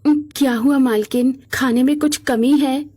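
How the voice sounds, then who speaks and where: A woman's voice: sits high, steady tone, measured pace, mellow. A woman voicing a humble, dutiful young mother who works as a maid, deferential and earnest, in a cartoon moral story. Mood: deferential, reassuring.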